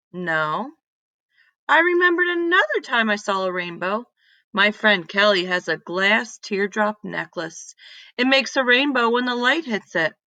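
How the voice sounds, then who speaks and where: Lady doing a kids read-aloud, slow and expressive, bright voice, pretty dry sound. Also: medium pitch, no room sound. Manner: deliberate, animated reading with emphatic exclamations. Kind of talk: expressive children's story narration.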